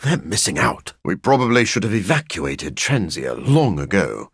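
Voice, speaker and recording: A man voicing a brooding, dryly witty living-vampire scientist in a video game, with a raspy, gravelly voice and a formal, sardonic, deadpan manner. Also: sits low, moderate pace, no room sound, studio quality, full character voice. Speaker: doctor and scientist. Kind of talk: video game character voice lines.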